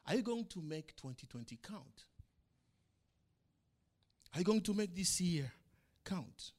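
A middle-aged man preaches in his church, a pastor whose first language is not English. His slightly high voice leaps up and down with lively emphasis. He fires rhetorical questions, booming one moment and dropping low the next. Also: measured pace. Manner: emphatic preaching, rhetorical questions, swings between loud and soft. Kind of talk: sermon.

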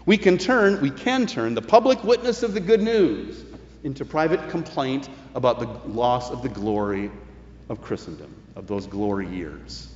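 A man's voice: mid-range voice, animated, moderate pace, a little hiss or hum. Male preacher in an echoing hall. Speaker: pastor preaching. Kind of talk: sermon. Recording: dull, echoing, long-reverb hall recording.

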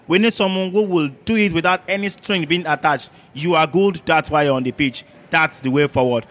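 Male sports panelist, Nigerian accent, fairly high pitch, emphatic and argumentative debater. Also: fairly quick, a bit bright. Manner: argumentative, emphatic, repeats words, rhetorical questions.

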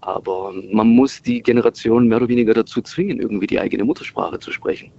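A middle-aged man whose first language is Italian talks on a muffled speakerphone call, his voice husky and gravelly. He speaks haltingly and keeps restarting his sentences. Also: mid-range voice, dark tone. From Italy, moved to Germany at six. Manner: hesitant, many fillers and restarts. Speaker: radio caller. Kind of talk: casual phone-in chat.

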